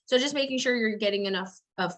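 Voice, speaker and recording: A woman's voice: a little high, quick pace, fairly bright tone. A woman speaking as a fitness coach in a casual interview, explaining things step by step with frequent check-ins. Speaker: fitness coach and business owner, interview guest.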